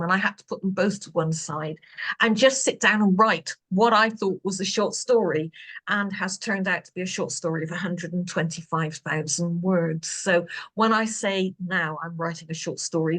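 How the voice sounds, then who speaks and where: A woman's voice: lowish voice, fairly bright tone. Woman author, chatty, rambling, self-deprecating, video call audio. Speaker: author answering reader questions. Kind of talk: casual video call chat.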